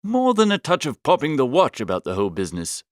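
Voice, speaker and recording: A man voicing an amiable, flustered upper-class young gentleman, chattily narrating a comic audiobook in old-fashioned slang. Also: medium pitch, wildly swooping pitch, fast talker, no room sound, studio quality.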